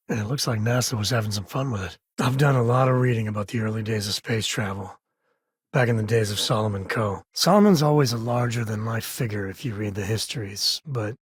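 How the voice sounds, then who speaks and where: Video game role, male actor: wry frontier spacefarer and father, middle-aged, mid-pitched, slightly rough husky voice, colloquial and easygoing. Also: no echo, studio quality, natural voice. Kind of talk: video game character dialogue.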